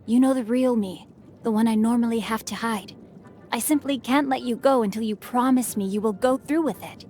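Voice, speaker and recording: A woman's voice: on the high side, moderate pace, mellow, slight background noise, natural voice. A woman voicing a bookish, mischievous young noble boy in a video game, speaking in refined, formal phrases with a playful, teasing manner. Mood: playful, insistent. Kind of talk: scripted game character voicelines. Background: background music.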